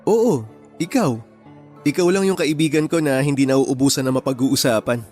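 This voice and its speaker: An actor playing a brainy, introverted, considerate young man in a radio drama, speaking in a measured, gentle way. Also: medium pitch, faint music behind, close to an everyday voice. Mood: friendly, candid.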